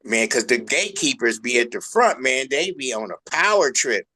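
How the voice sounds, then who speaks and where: A man of average pitch talks casually in a bright, forceful voice. His phrasing is loose and colloquial, as in an informal chat. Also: sing-song, normal speed, slightly rough.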